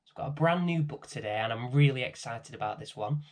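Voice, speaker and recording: A middle-aged man hosting and reading a children's bedtime story in a gentle, expressive way. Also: mid-range voice, quick pace. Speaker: storybook reader and host.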